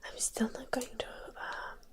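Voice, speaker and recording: Slow whispering woman with a stuffy nose. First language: Finnish. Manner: whispering, greets viewers by name, swears casually. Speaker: livestreamer. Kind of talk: whispered ASMR livestream chat.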